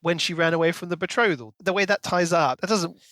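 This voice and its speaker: Higher-voiced male podcast co-host, dry sound. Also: fast, a bit bright, dry recording. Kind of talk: casual book discussion with prepared recap.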